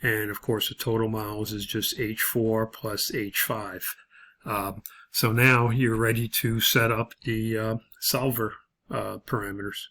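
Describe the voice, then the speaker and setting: Halting male tutorial instructor. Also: on the low side, flat delivery, sharp tone, slight background noise. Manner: halting, with frequent pauses and hesitations. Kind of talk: spreadsheet tutorial, explaining step by step.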